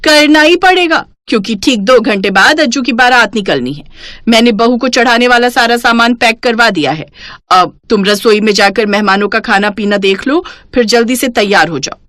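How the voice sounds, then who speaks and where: A woman voicing a responsible, middle-aged elder sister-in-law in a cartoon story, brisk, stern and admonishing. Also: fairly high pitch, a bit bright, no echo, clean studio sound, natural voice. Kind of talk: Hindi cartoon moral story acting.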